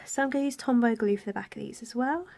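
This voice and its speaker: A woman talks through a card-making project with a head cold, her voice stuffy and a little raspy. She speaks softly as she works, at times close to a whisper. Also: fairly high pitch, quick pace. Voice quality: raspy, blocked-up nasal voice. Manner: soft, partly whispered while working. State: congested from a cold. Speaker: stamping craft demonstrator. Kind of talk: craft tutorial.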